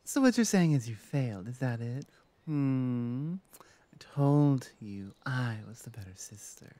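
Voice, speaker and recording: Woman acting a playful orc shaman, low mellow voice. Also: animated, unhurried, no echo. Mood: smug, teasing. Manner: chatty, playful, hesitant asides. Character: teasing, devoted female orc shaman. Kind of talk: ASMR roleplay, intimate one-sided conversation.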